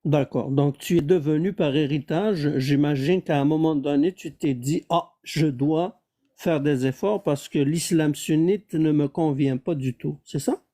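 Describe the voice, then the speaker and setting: A man's voice: on the high side, measured pace, warm tone. A man in an online debate who keeps pressing with persistent, probing questions.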